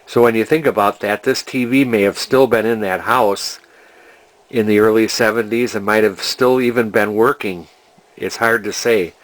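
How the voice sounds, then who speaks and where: A man's voice: lowish voice, fairly even intonation, moderate pace, poor audio. An older man speaks. He sounds like a hobbyist explaining his restoration work off the cuff. His delivery is casual and rambling, with hesitations as he thinks aloud. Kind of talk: casual unscripted repair narration.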